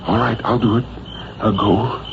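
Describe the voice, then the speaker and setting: A nervous, anguished woman, voiced by a male narrator, speaks in a hushed, hesitant way in an old radio story. The recording is muffled and noisy. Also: lowish voice, fairly even intonation, normal speed, gravelly. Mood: hushed, anxious.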